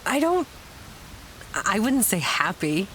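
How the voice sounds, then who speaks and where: A woman's voice: mid-range voice, big pitch swings, slow, fairly bright tone, slight background noise, poor audio. A woman playing a spirited, impatient young adventurer in an audio drama, candid and earnest. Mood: reluctant, earnest.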